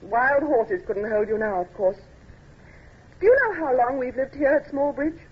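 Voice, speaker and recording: A woman acting a genteel, devoted English lady in an old-time radio drama, gracious and gently teasing. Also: sits high, animated, normal speed, breathy edge. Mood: knowing, brave. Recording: muffled, hissy old radio recording.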